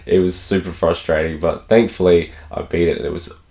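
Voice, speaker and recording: An Australian man with a croaky voice from a cold, chatting enthusiastically to camera about games he picked up and laughing often. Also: low voice, fairly even intonation, a little fast, mellow. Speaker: gaming channel host. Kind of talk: casual unboxing chat to camera.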